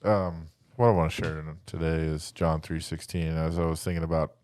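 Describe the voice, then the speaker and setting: Deep voice guy, dry close sound, plain talking podcast host explaining stuff casually. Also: level tone, a little fast, darkish tone, no room sound. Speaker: podcast co-host giving a short devotional. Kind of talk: casual chat and informal Bible teaching.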